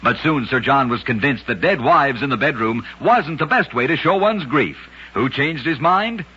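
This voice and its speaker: A man narrates strange true tales for an old-time radio program, his voice husky and sharply bright. He rides the sentences up and down with lively emphasis and clips each story off with a punchy tag. The old broadcast recording sounds dull and lo-fi. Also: mid-range voice. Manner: brisk, emphatic storytelling with punchy catchphrase endings.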